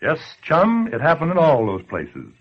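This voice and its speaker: Casting: blunt, slangy male scold for 1940s radio, husky, very animated delivery, old muffled recording. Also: fairly high pitch, unhurried, full character voice. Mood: blunt, urging. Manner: blunt, sardonic, colloquial. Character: tough, slangy streetwise man scolding complacent listeners. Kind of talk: 1940s radio acting, direct address to listener.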